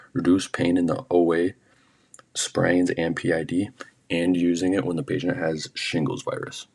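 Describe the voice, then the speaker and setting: Guy with a really deep kinda husky voice reading a how-to lesson script, plain and matter of fact. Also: a little mellow. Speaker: student presenter narrating an instructional video. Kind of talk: scripted educational narration.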